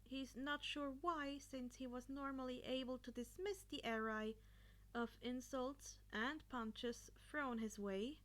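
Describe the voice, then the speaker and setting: A woman's voice: high voice, fairly even intonation, slow, a bit bright. A woman reading a story aloud as a podfic narrator, occasionally stumbling and repeating a phrase.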